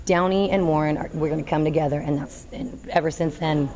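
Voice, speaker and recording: A woman in her thirties, a girls volleyball coach, giving an earnest postgame interview in a high school gym, working through her thoughts with small restarts. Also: steady tone, a little fast, a crowd in the background. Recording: noisy gym ambience.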